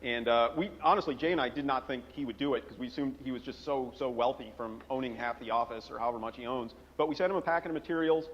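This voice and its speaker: A man's voice: medium pitch, fast talker, hardly any pauses, a little hiss or hum. A man on a conference panel telling anecdotes with lots of fillers, heard in a roomy hall on a dull recording. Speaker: game writer on a conference panel. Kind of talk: panel talk.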